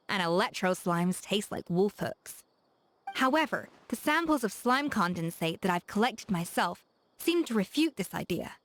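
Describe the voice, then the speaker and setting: Self-assured, teasing chef. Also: female voice, mid-range voice. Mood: musing. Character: confident, playful young woman chef, proud and curious. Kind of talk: game character voice lines.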